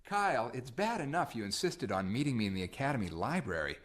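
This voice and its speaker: A man's voice: medium pitch, big pitch swings, quick pace, close to an everyday voice. A man playing a friendly, slightly exasperated young cadet roommate in an audio drama. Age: young adult.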